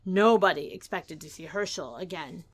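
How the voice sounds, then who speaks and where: A woman's voice: lowish voice, moderate pace, faint music behind. A woman narrator giving a scripted, dramatic reading of a storybook tale.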